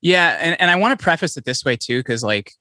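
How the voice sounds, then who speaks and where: A man being interviewed as a podcast guest, talking in quick, animated stories full of restarts. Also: fairly high pitch, dry recording, studio quality. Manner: fast, animated storytelling with many restarts. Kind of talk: conversational interview. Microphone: podcast microphone, remote call.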